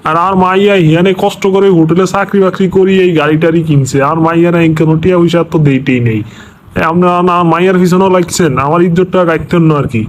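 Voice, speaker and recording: A man's voice: high voice, fast, lightly acted. An actor voicing a panicky, self-pitying corrupt official and father in a comic cartoon, speaking in a regional Bangladeshi dialect. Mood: indignant denial.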